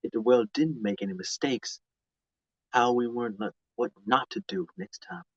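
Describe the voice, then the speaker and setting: A man's voice: medium pitch, lively intonation, normal speed, no room sound, lo-fi sound. A man in his mid-twenties chatting casually to viewers on a live stream outdoors, rambling and often repeating or restarting his phrases.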